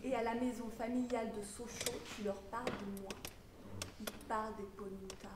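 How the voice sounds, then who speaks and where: A woman acting a theatrical monologue as a troubled young mother, wounded and defiant, swinging between muttered confiding and shouted outrage. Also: fairly high pitch, fairly even intonation, moderate pace, recorded from a distance, natural voice. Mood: wounded, brooding. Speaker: drama student performing a monologue. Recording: roomy echo with background noise.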